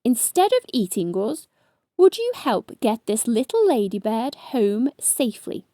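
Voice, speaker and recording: A woman voicing a small, brave young male hedgehog who turns squeaky and nervous when scared. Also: very animated, unhurried, mellow, no room sound, clean studio sound. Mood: pleading.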